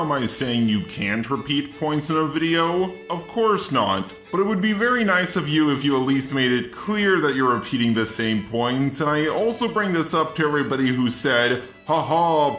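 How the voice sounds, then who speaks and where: A man's voice: medium pitch, bright, forceful tone, roomy sound. A synthetic male robot voice reading scripted video commentary as the host of a channel. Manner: mechanical, evenly scripted delivery. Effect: text-to-speech robot voice. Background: background music.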